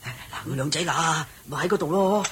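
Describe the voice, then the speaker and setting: A man's voice: fairly high pitch, moderate pace, fairly bright tone, slight background noise, close to an everyday voice. An actor playing a thirsty, weary, anxious but willing male traveller in a radio drama, full of sighs and exclamations. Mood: anxious, eager. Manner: exclamatory, sighing. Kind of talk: Cantonese radio drama acting.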